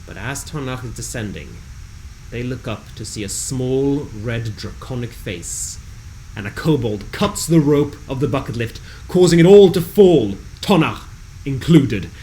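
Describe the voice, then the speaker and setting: A man reading a scripted fantasy game recap in a dramatic, measured storytelling delivery. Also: medium pitch, animated, dark tone, a little hiss or hum, rough recording.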